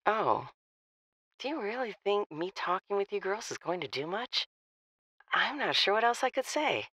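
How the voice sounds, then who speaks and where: Weary middle-aged mother, worried, motherly, hesitant, bright strained voice, animated pitch, fiction podcast. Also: moderate pace, no room sound. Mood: weary, guarded. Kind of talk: audio drama phone conversation.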